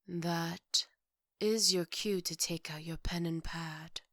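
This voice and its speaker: Woman playing a low-voiced, strict head maid. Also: steady tone, a bit bright, no echo, studio quality, exaggerated voice. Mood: formal, stern. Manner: formal, measured, scolding. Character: strict, dryly sarcastic chief maid of an estate. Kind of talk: ASMR roleplay acting.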